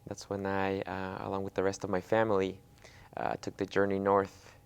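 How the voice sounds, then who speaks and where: A man's voice: deep voice, completely flat delivery, moderate pace, clean tone. A young man born in Mexico whose first language is Spanish, answering interview questions with many fillers and restarts. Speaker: guest.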